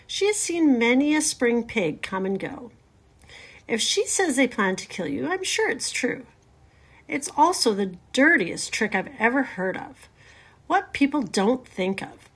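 A woman voicing a calm, protective female spider in a storybook, brisk, firm and thoughtful. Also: fairly high pitch, breathy edge, full character voice. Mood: thoughtful, candid. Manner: brisk, composed, no-nonsense.

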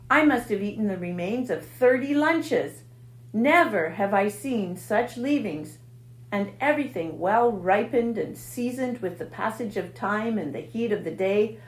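A bloated, gloating male rat, put on by a woman drags out each word in a husky snarl. His slow, greedy taunts hang in a roomy echo. It is the sound of a storybook scoundrel. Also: mid-range voice, lively intonation, lightly acted. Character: gluttonous, sneering male rat, bloated from feasting. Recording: echoey room.

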